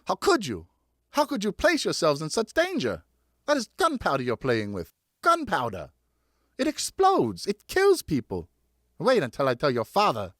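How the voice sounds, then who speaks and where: Man as stern scolding woman, audiobook character, lifted pitch, dramatic swings, indignant, emphatic. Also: wildly swooping pitch, moderate pace, fairly bright tone, dry recording, clean studio sound, exaggerated voice. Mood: alarmed, indignant. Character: stern, outraged woman scolding two boys.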